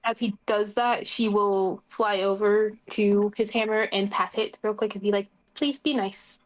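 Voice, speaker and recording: A woman with a medium-pitched voice takes part in an online tabletop game. She chats casually and slips between describing her character and speaking for her. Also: fairly even intonation, unhurried. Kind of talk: casual role-play chat.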